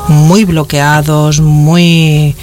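A woman's voice: low-pitched, little pitch movement, extremely slow, a little mellow. A woman working as a psychic medium, giving a caller a spiritual reading on a call-in radio show, in a soothing, reassuring manner that keeps checking in with the caller. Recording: radio broadcast with faint music.

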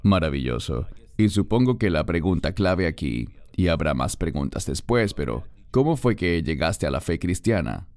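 Deep-voiced man hosting an interview. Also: very animated, normal speed, a little mellow, no room sound. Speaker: interview host.

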